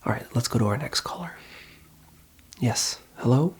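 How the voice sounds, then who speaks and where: Asmr host doing a call centre roleplay, super breathy whispering, reassuring and slow advice. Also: mid-range voice, expressive. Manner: reassuring, unhurried advice-giving.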